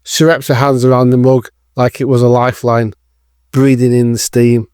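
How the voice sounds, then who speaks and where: A man's voice: mid-range voice, flat delivery, normal speed, a little mellow, clean tone, dry recording, studio quality. A man reading scripted narration for an audio drama as its narrator.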